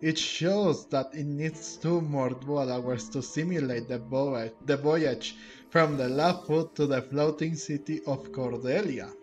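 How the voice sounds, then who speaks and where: A man's voice: fairly high pitch, unhurried, a little mellow. A man streaming a video game, commenting casually and reading in-game text aloud. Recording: game music faintly behind.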